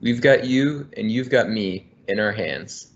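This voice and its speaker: Um homem de uns trinta anos, engenheiro ambiental, lendo um livro infantil em voz alta, de forma amigável e cadenciada, numa chamada de vídeo. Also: male voice, lowish voice, lively intonation, a little slow. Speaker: environmental engineer. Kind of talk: reading a children's book aloud. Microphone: laptop webcam on video call. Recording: muffled video call audio.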